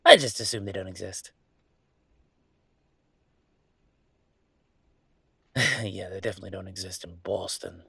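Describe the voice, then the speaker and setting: Casting: male actor for young male werewolf, audio drama; gravelly, dry close sound, wry and wounded, halting confessional delivery. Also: low-pitched, sharp tone, dry recording, exaggerated voice. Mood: resigned, wry. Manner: halting, sardonic, rambling confiding. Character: troubled young male werewolf, wry, self-deprecating, hurting. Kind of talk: intimate audio roleplay monologue.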